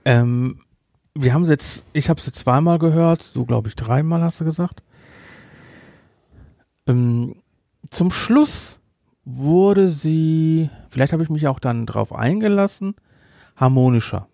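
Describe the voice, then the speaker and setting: A man hosting a podcast, giving a casual review in a blunt, emphatic, halting way. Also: fairly high pitch, lively intonation, vocal fry, warm tone, dry recording, studio quality. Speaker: podcast host.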